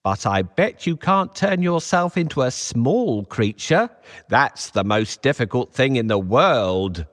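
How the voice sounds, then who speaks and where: A man voicing a clever, scheming male cat who flatters and tricks an ogre in a storybook. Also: mid-range voice, unhurried, no room sound, clean studio sound. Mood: flattering. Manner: sly, wheedling flattery.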